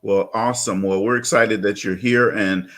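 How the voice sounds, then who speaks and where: A man's voice: fairly low pitch, fairly even intonation, moderate pace, fairly bright tone. A man hosting a conversational interview over a video call, often affirming his guest and repeating words. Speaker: talk show host, interviewer.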